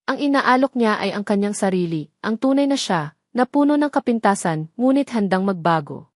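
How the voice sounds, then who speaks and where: A woman's voice: medium pitch, expressive, normal speed, a little mellow, dry recording. Woman narrating a romance, close dry sound. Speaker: storyteller. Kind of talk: scripted dramatic audio story narration.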